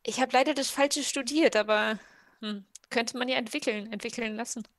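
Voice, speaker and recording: A middle-aged woman improvises casual dialogue in a roleplaying game. Her voice is somewhat high, and it is recorded dry and without echo. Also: moderate pace. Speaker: roleplay player. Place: home room, online video call.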